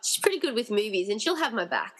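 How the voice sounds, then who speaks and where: A woman's voice: a little high, sing-song, fast talker, in a large hall. A woman playing an anxious but determined hero in a radio play, earnest and wryly muttering. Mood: wry, uneasy. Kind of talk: amateur radio-play acting.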